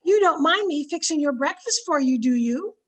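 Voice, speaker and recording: A woman's voice: high-pitched, lively intonation, normal speed. A woman playing a cheerful, meddlesome older unmarried aunt in an old-time radio drama, chatty and knowing. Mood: cheerful, scheming.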